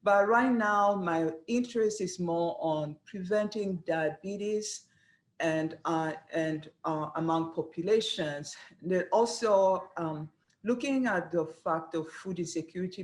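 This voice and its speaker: A middle-aged woman speaks in an earnest, measured way, often pausing with small fillers. She sounds like a guest answering questions about her career. Her voice carries a roomy echo. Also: fairly low pitch. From Rwanda. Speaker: dietetics program director, guest. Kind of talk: interview answers. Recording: echoey room.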